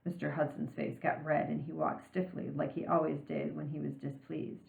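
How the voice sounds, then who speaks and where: A woman reading a children's story aloud in an expressive storytelling style. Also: sits low, moderate pace, vocal fry. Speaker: reader of a children's novel aloud. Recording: roomy, band-limited home recording.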